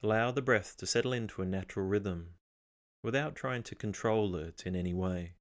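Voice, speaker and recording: A man narrating a guided meditation as the guide, speaking in a calm, measured, instructional way with pauses. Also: lowish voice.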